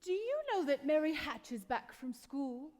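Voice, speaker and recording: A woman acts out a warm but gently bossy small-town mother in old radio-play style. Her voice is high and lively, carrying in a reverberant hall. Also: normal speed. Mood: coaxing. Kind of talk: 1940s radio play acting. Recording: echoey hall, live stage taping.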